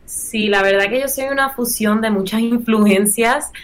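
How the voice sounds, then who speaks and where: A woman's voice: a little high, measured pace. A young woman with a Puerto Rican accent and English as her first language, speaking earnestly and expressively as a singer-songwriter guest in a casual radio interview. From Puerto Rico.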